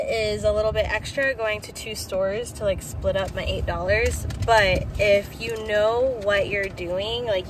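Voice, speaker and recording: Clear background noise sits around a young American woman talking casually from inside a car. Her voice is mid-pitched, and she speaks informally to her viewers, thinking aloud as she goes. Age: young adult. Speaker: fitness and weight loss vlogger. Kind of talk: casual vlog chat to viewers. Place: parked car.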